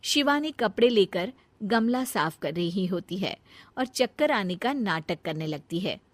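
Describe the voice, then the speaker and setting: A woman narrating a cartoon moral story in a plain, even storytelling manner. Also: medium pitch, unhurried, dry recording.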